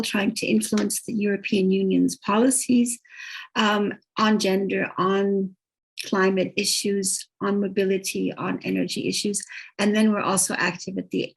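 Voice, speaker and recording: Woman panelist, husky with vocal fry. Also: mid-range voice, low-quality recording. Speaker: panelist, NGO board member. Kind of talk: conference self-introduction.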